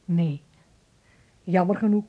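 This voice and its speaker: Slow, husky, gentle aunt. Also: female voice, lowish voice, phone-quality sound. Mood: wry, musing. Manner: slow, musing, soothing. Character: gentle, wry, philosophical aunt. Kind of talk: Dutch radio play acting.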